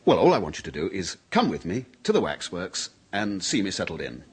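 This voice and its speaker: A man's voice: sits low, huge pitch swings, a little fast, husky, full character voice. An actor playing a nervous, hard-up male freelance writer who stammers and hesitates, in an old radio drama. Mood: nervous eager. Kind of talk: 1970s radio drama acting. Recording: old radio broadcast recording.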